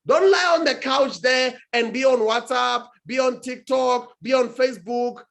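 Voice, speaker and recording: A man's voice: very high-pitched, a little slow, vocal fry, fairly bright tone. A man, a guest speaker on a talk show, giving emphatic advice with frequent restarts and searching for words. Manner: emphatic, with many restarts and "what do you call it". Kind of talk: motivational talk-show answer.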